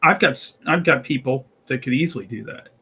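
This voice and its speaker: A man's voice: mid-range voice, fairly quick, sharp tone. A man playing a tabletop game, asking questions and rambling with many restarts. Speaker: player. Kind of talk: casual tabletop game chat.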